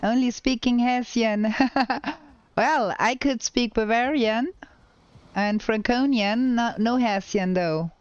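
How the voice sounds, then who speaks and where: German-accented woman streamer, unhurried, smooth clear voice on dull lo-fi audio, chatty gameplay commentary. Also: on the high side. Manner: slow, thinking aloud while playing. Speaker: game streamer. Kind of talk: casual gameplay commentary with chat replies.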